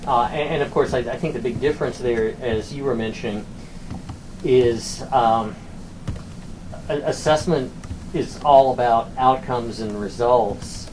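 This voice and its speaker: A man talking through administrative matters on a conference call, with hesitant, measured phrasing, like a university academic. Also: medium pitch, a little mellow, low-quality recording. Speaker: university academic, former program director. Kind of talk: work discussion on a conference call. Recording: conference call with clear background noise.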